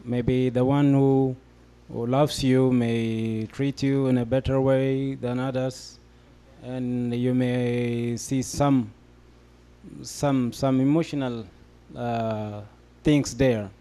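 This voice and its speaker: A man's voice: medium pitch, fairly even intonation, takes it slowly, dark tone, clear, resonant tone. A young man from Ethiopia speaking on a discussion panel, hesitant and full of fillers as he explains his answer. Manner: hesitant, many fillers and restarts. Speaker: panel guest.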